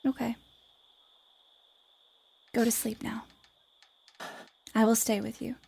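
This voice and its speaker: Jo, a spirited high-voiced young woman. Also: little pitch movement, slow, vocal fry, darkish tone, slightly rough, full character voice. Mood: tender, concerned. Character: spirited, restless young woman writer, blunt but caring. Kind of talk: audio play acting of a period novel.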